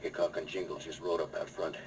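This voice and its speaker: The scheming American mayor hissing orders to a henchman in a radio Western, deep, bossy, a little off the microphone. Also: flat delivery, a little fast, creaky. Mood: urgent, domineering. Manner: curt, barking orders. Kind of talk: 1950s Western radio acting. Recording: distant, noisy old radio recording.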